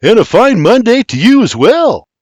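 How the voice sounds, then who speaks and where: Guy doing a cheeky irishman with an irish lilt, way higher than his normal voice and lively, dry sound. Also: moderate pace, breathy edge, no room sound, full character voice. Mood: wry. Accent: Irish, as played. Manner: wry, sarcastic retorts. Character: sarcastic younger Irishman, quick and teasing.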